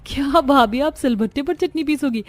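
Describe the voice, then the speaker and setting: A woman's voice: sits high, moderate pace, pitched above the performer's own voice, lightly acted. A woman voicing a helpful, breezy young sister-in-law in a cartoon family story, chirpy and familiar. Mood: surprised.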